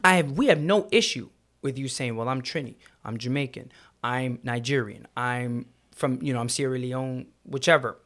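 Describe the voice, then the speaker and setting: A man's voice: mid-range voice, moderate pace, a bit bright. A man co-hosting a podcast, explaining his points earnestly and in order. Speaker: podcast co-host. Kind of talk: conversational podcast discussion.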